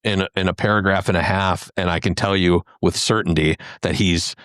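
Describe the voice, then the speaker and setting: A man with a low, smooth voice talks shop on a podcast panel. He explains things casually and checks in with listeners as he goes. The sound is dry and close. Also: fairly even intonation, fairly quick, a bit bright, no room sound. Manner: explanatory, often checks with "right?". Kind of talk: casual technical discussion.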